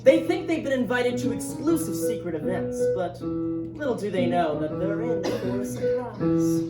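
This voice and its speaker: A woman's voice: mid-range voice, animated, moderate pace, recorded from a distance, over loud music, lightly acted. A woman playing a mischievous escape room host, announcing grandly and teasingly in a staged musical reading. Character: mischievous, theatrical female escape room game show host. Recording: roomy live stage from afar, loud instruments.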